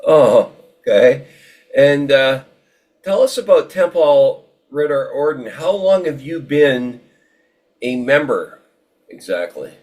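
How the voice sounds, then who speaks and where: A man hosting an informal interview, speaking in a halting, conversational way and repeating words. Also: mid-range voice, sing-song, darkish tone. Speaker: interviewer and show host.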